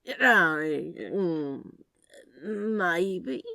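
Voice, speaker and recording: A woman plays a cool, teasing storybook man with a low, creaky voice. The delivery is a slow, sardonic drawl with a hard, forceful edge. The temper is flirtatious and drolly dramatic. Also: lively intonation, no room sound, studio quality, exaggerated voice. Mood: flustered. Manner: drawling, mock-mournful, sardonic.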